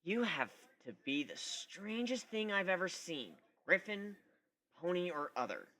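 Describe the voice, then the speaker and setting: A brash griffin huntress , as a man reads her in a story. She talks in a casual, teasing way, sarcastic yet curious, with a bright edge and an animated swing in pitch. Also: measured pace, exaggerated voice.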